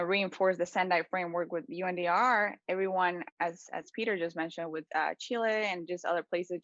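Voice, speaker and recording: A woman's voice: fairly low pitch, dry recording, muffled recording. A woman answering an interview question, searching for words with many fillers and restarts. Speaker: guest, resilience network member.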